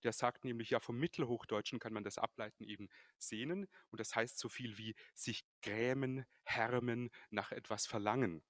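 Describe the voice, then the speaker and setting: A man in his thirties moderating a café panel, measured and formal, holding a handheld mic. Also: mid-range voice, a bit bright, no echo. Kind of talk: moderating a panel, reading quotes. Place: large café hall, indoors.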